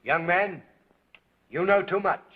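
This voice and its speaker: An actor playing a skeptical, stern police prefect who questions people curtly, in an old 1930s film soundtrack. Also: male voice, high voice, takes it slowly, phone-quality sound. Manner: curt, interrogating.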